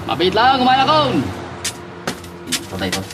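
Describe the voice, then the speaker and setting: A man's voice: very high-pitched, huge pitch swings, moderate pace, over loud music, heavily acted. A man with an Ilocano accent playing a cocky, chuckling crook who goads his pal in an audio drama. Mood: teasing. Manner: chuckles often, goading. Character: cocky, jolly male crook luring his pal into drug running. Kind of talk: Ilocano radio-style drama acting.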